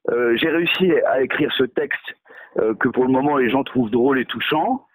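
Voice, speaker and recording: A man's voice: mid-range voice, normal speed, dry recording, low-quality recording. A male novelist in a radio interview, talking hesitantly with many fillers and restarts, mocking himself. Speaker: novelist guest.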